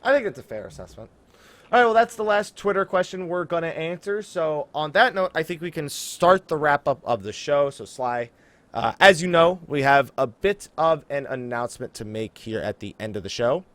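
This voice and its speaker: Animated American man hosting a gaming podcast. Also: on the high side, moderate pace, dry recording. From New Jersey, USA. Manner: jokey, informal, breaks off to laugh and ad-lib. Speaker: podcast host. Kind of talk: casual gaming podcast talk and announcements.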